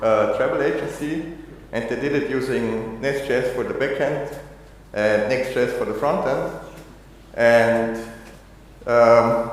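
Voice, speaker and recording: A man from Austria giving a technical conference talk, halting with many fillers and restarts. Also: medium pitch, slight background noise. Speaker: conference speaker. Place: conference hall. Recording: echoey hall, long reverb.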